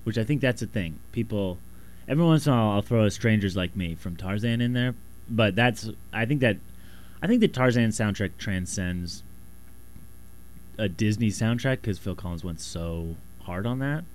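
Rambling man with a slightly raspy voice. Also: lowish voice, expressive, normal speed. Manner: rambling, loose and self-deprecating, many restarts. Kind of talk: casual monologue.

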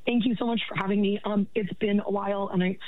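A woman calling in to a radio show with a raspy voice, speaking earnestly with lots of halting restarts. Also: medium pitch, steady tone. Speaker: caller. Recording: dry, tinny phone line.

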